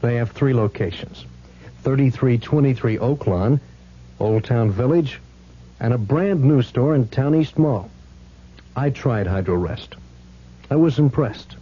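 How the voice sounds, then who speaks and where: A man's voice: mid-range voice, unhurried, pauses often, dark tone, a little hiss or hum. A man giving a chatty, conversational testimonial in a 1970s radio advertisement. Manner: chatty, anecdotal. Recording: dull lo-fi 1970s radio recording.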